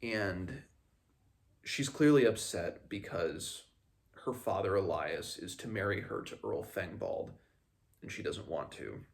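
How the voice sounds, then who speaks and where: A man hosting a book read-along, casually recapping the story in a halting, conversational way. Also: low-pitched, animated, creaky.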